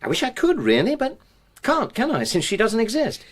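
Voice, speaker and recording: A man's voice: very high voice, wildly swooping pitch, normal speed, exaggerated voice. An actor playing a defensive, indignant middle-aged English husband and father in a naturalistic radio drama, speaking in an emphatic, clipped, self-justifying way.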